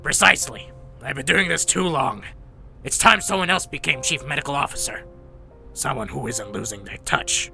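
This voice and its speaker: Sharp, creaky old robot medic played by a man. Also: mid-range voice, lively intonation, normal speed, soft background music, exaggerated voice. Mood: weary, resigned. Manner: gruff, blunt, world-weary. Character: grumpy, blunt, aging male robot medic. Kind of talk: animated motion-comic dialogue.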